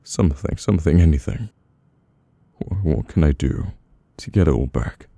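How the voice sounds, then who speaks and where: A man with a British accent playing a brooding, domineering, burdened mafia boss in an intimate, halting ASMR audio roleplay. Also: expressive, warm tone, no echo, exaggerated voice. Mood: distressed, desperate. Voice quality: very deep, gravelly. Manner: slow, halting, weary menace.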